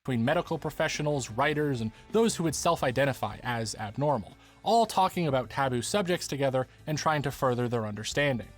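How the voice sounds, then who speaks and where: A man's voice: mid-range voice, lively intonation, brisk, hardly any pauses, faint music behind. A man reading scripted explanatory narration as the narrator of a video essay.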